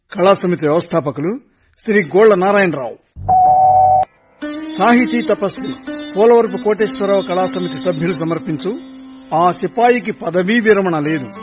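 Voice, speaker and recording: A man's voice: high voice, moderate pace, pauses often. A man making a formal, stately station announcement. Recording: radio announcement over music.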